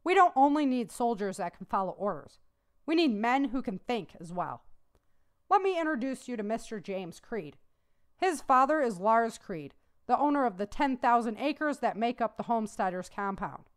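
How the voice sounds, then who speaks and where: A woman's voice: on the high side, very animated, normal speed. Jackson, a stern army commander, as a woman reads him. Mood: approving. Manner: blunt, questioning. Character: stern male military commanding officer.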